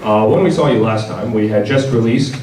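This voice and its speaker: A man's voice: mid-range voice, brisk, background noise. A man hosting a panel, giving an informal product presentation with a casual, wry, joking delivery. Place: large indoor convention panel room. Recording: roomy, distant mic, audible audience. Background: audience crowd.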